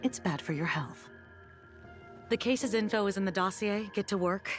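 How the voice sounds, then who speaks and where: An actress playing a cool, composed security officer in a video game, speaking with dry, faintly wry, businesslike authority. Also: female voice, medium pitch, normal speed, faint music behind, close to an everyday voice. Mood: wry, admonishing.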